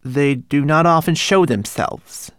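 A man voicing a wise old llama, speaking slowly and solemnly in an audiobook. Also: on the high side, no echo, clean studio sound, pitched above the performer's own voice, lightly acted. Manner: slow, solemn.

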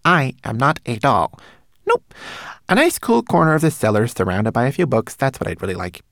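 A man voicing a tiny male homunculus, tearful, fussy and proud, in a high thin voice, for a storybook. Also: sing-song, moderate pace, creaky, a bit bright, no room sound, studio quality. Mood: indignant. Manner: plaintive, fussy.

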